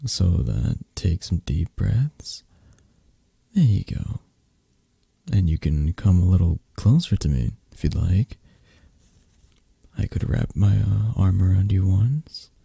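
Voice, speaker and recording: Man acting as a low, husky, possessive mafia boss. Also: expressive, slow pace, pauses often, mellow, no room sound, full character voice. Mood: soothing, tender. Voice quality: breathy, husky. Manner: sleepy, teasing, hesitant murmur to a lover. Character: possessive, flirtatious, soothing male mafia boss. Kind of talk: intimate ASMR romance roleplay.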